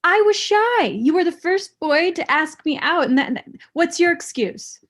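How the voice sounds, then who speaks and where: A woman playing a blunt, resentful young ex-girlfriend with a deadpan, pressing manner, in a comedy table read. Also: very high voice, unhurried, heavily acted. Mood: angry, demanding.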